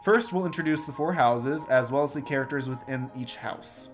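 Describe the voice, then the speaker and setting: A man's voice: mid-range voice, moderate pace, faint music behind. A man hosting and introducing an improvised radio play as its stage manager.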